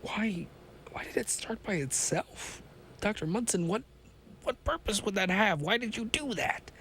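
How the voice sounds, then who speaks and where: A man voicing a nervous, disbelieving explorer who talks in a raised, halting voice. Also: high voice, animated, bright, forceful tone, a little hiss or hum, pitched above the performer's own voice, exaggerated voice. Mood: anxious.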